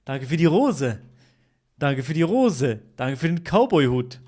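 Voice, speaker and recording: A young man with a voice a little higher than most men's. He chats loosely with his stream audience, joking, swearing and repeating himself. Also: big pitch swings, measured pace, a little mellow. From Switzerland. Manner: chatty, jokey, repeats himself, swears at chat. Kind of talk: casual livestream chat with viewers.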